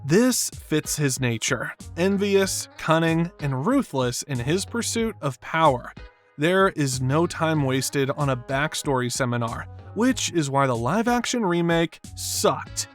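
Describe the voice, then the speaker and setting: Male video essayist, somewhat high-pitched, reading scripted commentary over background music. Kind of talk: scripted narration, film analysis.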